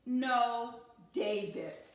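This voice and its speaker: A woman's voice: on the high side, drawn-out words, voice pushed down. A woman reading a storybook as an exasperated mother, scolding a naughty little boy with emphatic repetition. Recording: very echoey, reverberant room sound.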